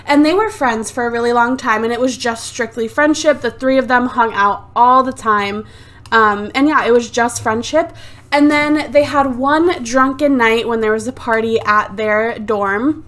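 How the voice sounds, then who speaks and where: A fairly high-voiced woman talking through a life-sim game in a loose, chatty way, full of "um" and "like". She has a sore throat and sounds slightly phlegmy. She is recorded close on a desktop USB mic. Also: normal speed, fairly bright tone. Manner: chatty and rambling, lots of "like" and "um". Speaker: let's play host. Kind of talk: casual gameplay commentary. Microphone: desktop USB microphone.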